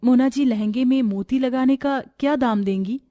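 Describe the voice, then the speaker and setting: Woman as meek, high-voiced poor seamstress. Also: normal speed, mellow, no room sound, natural voice. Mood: pleading. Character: meek, poor, worn-down sister-in-law and seamstress.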